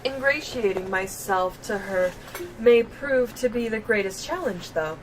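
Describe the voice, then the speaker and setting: A woman's voice: medium pitch, animated, unhurried, recorded from a distance, slight background noise, natural voice, poor audio. A woman voicing a prim, articulate investigating reporter in a fantasy game, formal and wordy. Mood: composed, self-assured.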